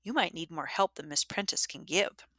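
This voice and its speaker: A woman's voice: fairly low pitch, normal speed, pushed and bright, no room sound, clean studio sound. A woman voicing a doubting older schoolgirl, blunt and challenging, in a storybook reading. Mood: skeptical. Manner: blunt, questioning.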